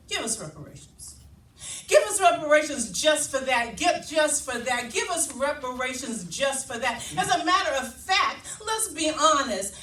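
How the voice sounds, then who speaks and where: A woman spoken word poet with a loud voice performing live, rhythmic and emphatic, slowing down to lean on key phrases. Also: high-pitched, slight background noise. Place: event hall at a labor office. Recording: echoey hall, voice heard from a distance.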